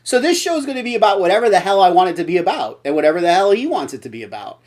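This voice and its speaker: A man's voice: sits high, sing-song, very fast, a little mellow. A middle-aged man hosting a casual comedy chat show, blunt and joking as he rambles. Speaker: host.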